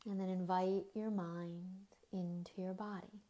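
A woman guides a meditation with a very slow delivery and a dark, relaxed tone. She speaks gently in short phrases with long pauses, letting the ends soften into breathiness. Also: fairly low pitch. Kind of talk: guided body scan meditation.

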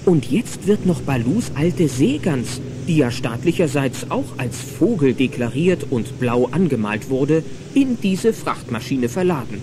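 A man's voice: medium pitch, big pitch swings, unhurried, hardly any pauses, background noise. A man narrating a children's adventure radio play in a dramatic, playful manner. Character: lively storyteller of a children's adventure. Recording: music and engine sounds behind.

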